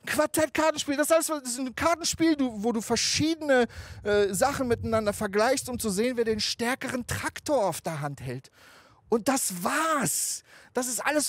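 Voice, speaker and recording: A man in his thirties delivers a stand-up set into a handheld mic, out on a rooftop. His storytelling is animated and conversational, peppered with swearing. The sound is dry and close, with no room echo. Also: very high-pitched, normal speed. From Afghanistan, raised in Germany. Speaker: stand-up comedian. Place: outdoor rooftop stage.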